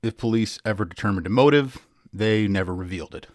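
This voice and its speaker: Guy hosting a podcast, reads articles dramatically, lowish voice, measured and punchy, really dry sound. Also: a little mellow, dry recording. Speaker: podcast co-host and producer. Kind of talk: scripted narration, dramatic article reading. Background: music.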